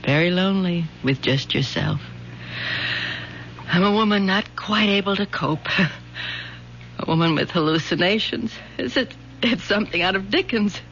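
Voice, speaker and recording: A woman's voice: mid-range voice, lively intonation, moderate pace, vocal fry, pauses often, a little hiss or hum. A bitter, lonely mother. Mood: wounded. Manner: soft, wounded and bitter. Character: bitter, lonely middle-aged mother, fragile. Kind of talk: 1970s radio drama acting. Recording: dull lo-fi old radio broadcast.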